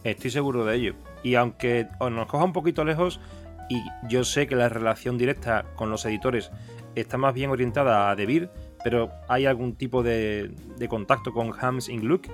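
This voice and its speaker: A man's voice: mid-range voice, fairly quick, soft background music. A man from southern Spain with an Andalusian accent, hosting a casual interview and putting questions conversationally. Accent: Andalusian Spanish. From Seville, Spain.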